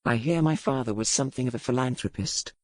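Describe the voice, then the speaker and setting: Man playing a proud, formal romance gentleman. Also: medium pitch, no echo, close to an everyday voice. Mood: sardonic, bitter. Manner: formal, measured period diction. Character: proud, sardonic gentleman husband in historical romance. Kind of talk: dramatic audiobook character acting.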